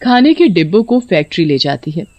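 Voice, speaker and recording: A woman storyteller delivers scripted narration. Her voice sits a little low for a woman, and the sound is dry and close. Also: big pitch swings, brisk, darkish tone, no echo, lo-fi sound.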